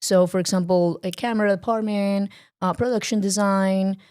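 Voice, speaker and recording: A young Colombian woman speaking English with a Colombian Spanish accent, talking in a halting way with many fillers as she searches for words in a casual interview. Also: mid-range voice, steady tone, darkish tone, clear, resonant tone. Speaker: podcast guest, filmmaker.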